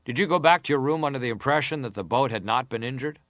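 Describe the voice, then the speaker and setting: A man's voice: medium pitch, fairly bright tone, no room sound, natural voice. Persistent male senator interrogating, played by an actor, dry sound. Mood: probing, insistent. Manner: deliberate, formal, pressing questions. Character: probing, persistent senator questioning a witness. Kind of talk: dramatised reading of hearing interrogation.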